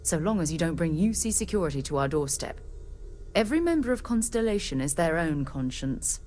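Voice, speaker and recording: An actress playing a seasoned, principled space explorer captain in a video game, speaking in a measured, earnest, authoritative way. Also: female voice, low-pitched, sing-song, warm tone, a little hiss or hum. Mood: firm, principled. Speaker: expedition leader. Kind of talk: video game character dialogue.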